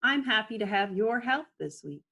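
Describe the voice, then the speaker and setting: A woman voicing a friendly male forest hydrologist in a children's storybook, calm and informative. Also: fairly high pitch, moderate pace, full character voice.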